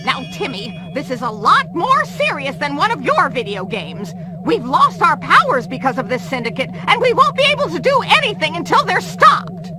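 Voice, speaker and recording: A woman's voice: very high voice, sing-song, normal speed, long pauses. A woman voicing a tiny, worried, chiding fairy in a cartoon video game. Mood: worried scolding.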